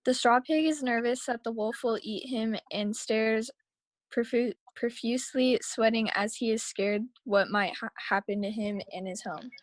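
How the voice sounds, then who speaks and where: A woman's voice: fairly high pitch, moderate pace, no echo, poor audio. A woman reading scripted story action aloud at a table read over a video call. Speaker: reader of action lines. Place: remote video call, close dry sound.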